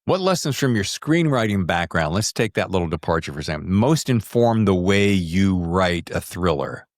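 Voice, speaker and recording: A man's voice: sits low, expressive, moderate pace, dry recording, studio quality. A man hosting a podcast interview, asking questions casually with jokey, emphatic phrasing.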